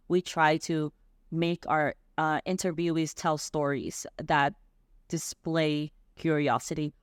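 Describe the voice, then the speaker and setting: A woman's voice: low-pitched, dry recording, studio quality. A woman who runs her own business, guest on an interview podcast, explaining steadily and practically how she hires staff. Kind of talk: interview conversation.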